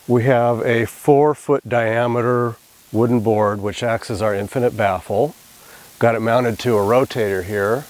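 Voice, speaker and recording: A man's voice: mid-range voice, a little mellow, no room sound. A man in his forties giving an explanatory lab demonstration as an instructor. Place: small anechoic chamber, indoors.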